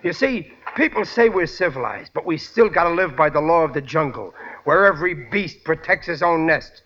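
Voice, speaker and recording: A man's voice: a little high, animated, moderate pace, fairly bright tone. A man playing a jealous middle-aged working-class husband and father in an old-time radio comedy, blunt and full of indignant bluster. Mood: conspiratorial. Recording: dull old radio recording.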